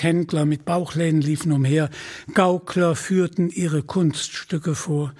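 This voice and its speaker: A man with a husky voice narrating an adventure tale for a radio play in a measured, expressive storytelling manner. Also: on the high side.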